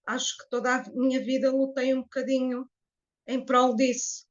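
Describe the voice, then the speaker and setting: Portuguese woman, late fifties, high voice, reflective guest, muffled sound. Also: level tone. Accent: Portuguese (European Portuguese). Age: 50s. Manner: reflective, unhurried, with hesitations. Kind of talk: personal testimony in an interview.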